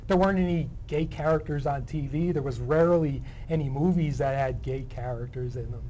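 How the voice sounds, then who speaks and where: A man's voice: on the high side, brisk, mellow, a little hiss or hum, rough recording. A middle-aged man chatting casually in an interview, hesitant and often repeating his words. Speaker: playwright and actor discussing a play.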